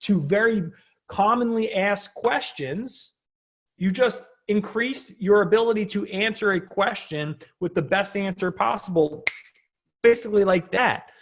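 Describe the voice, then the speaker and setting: A man with a high-pitched voice walks through an on-screen tutorial in a casual, unscripted way. He talks like a coach, conversational and often checking in with short tag questions. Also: a little slow. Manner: conversational, frequently checking in with "right?". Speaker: online business coach presenting a tutorial.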